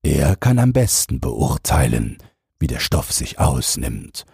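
Man playing a vain, deep-voiced pondering emperor. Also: big pitch swings, slow, gravelly, mellow, slightly rough, no room sound, clean studio sound, exaggerated voice. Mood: musing, self-important. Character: vain, pondering emperor, self-important fairy-tale ruler.

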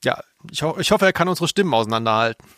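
A man's voice: fairly high pitch, expressive, rapid-fire, pushed and bright, no echo, studio quality. A man talking casually and humorously as a podcast host. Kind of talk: casual humorous chat about audio plays.

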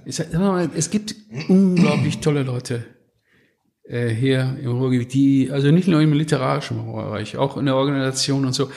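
A man's voice: medium pitch, normal speed, vocal fry, a little mellow. A man from Westphalia speaking as an interview guest in a casual, blunt, colloquial way. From Westphalia, Germany. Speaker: podcast guest, book publisher.

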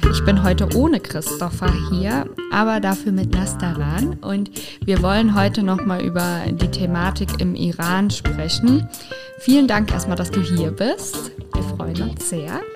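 A woman hosts a casual podcast interview. She asks her questions in a loose, chatty way and pauses often on small filler sounds. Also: fairly low pitch, darkish tone. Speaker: podcast host.

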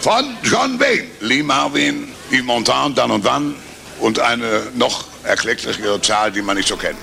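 A man with a gravelly voice delivering scripted lines. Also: mid-range voice, expressive, unhurried, bright, forceful tone, slight background noise.

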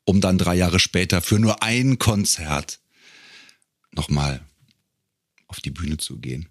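A middle-aged man, telling stories casually as a podcast guest and pausing to pick his words. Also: low-pitched, animated, no echo, clean studio sound. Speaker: podcast guest, singer and professional voice-over speaker. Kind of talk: casual conversational storytelling.

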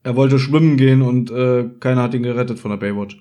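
A man's voice: medium pitch, steady tone, fast talker, dark tone, lo-fi sound. A man chatting casually as a podcast host, playfully joking and acting out bits as he talks. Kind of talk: casual chat about an audio drama episode.

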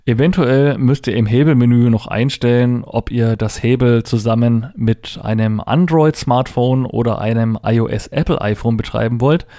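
A man's voice: medium pitch, dark tone, dry recording, clean studio sound. A man presenting a hands-on product demonstration, explaining informally step by step and thinking aloud as he goes. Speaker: presenter demonstrating assistive technology.

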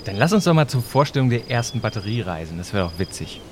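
Brief: man acting an adventurous, level-headed time-travelling friend, radio play, lowish understated voice, faint noise behind. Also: big pitch swings, quick pace. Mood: cautious. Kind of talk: amateur scripted audio drama.

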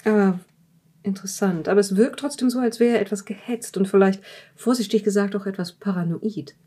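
A woman playing a curious, measured interviewer who examines a dead man's journal in an improvised audio drama. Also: mid-range voice, dark tone, husky, natural voice. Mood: probing.

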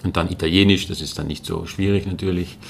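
Man, low voice, gravelly, hesitant with many fillers, faint background noise. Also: fairly even intonation, fast talker. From Netherlands. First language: Dutch. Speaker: guest, linguistics lecturer. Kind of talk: casual interview answers.

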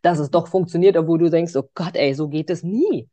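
A woman's voice: lowish voice, a little fast, warm tone, no echo. A woman hosting a conversational interview in a wordy, encouraging way. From Berlin, Germany. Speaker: podcast interviewer.

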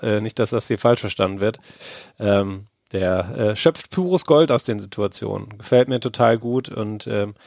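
A man's voice: mid-range voice, creaky, a little mellow, no echo, studio quality. A man co-hosting a podcast, talking casually and thoughtfully with many fillers. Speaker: podcast co-host.

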